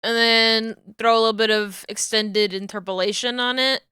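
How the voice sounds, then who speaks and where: A woman on a live stream thinking aloud as she explains her rigging steps to chat, her voice going hoarse. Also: fairly high pitch, fairly even intonation, moderate pace, bright, forceful tone, no room sound, studio quality. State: losing her voice. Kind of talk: casual live-stream talk while working.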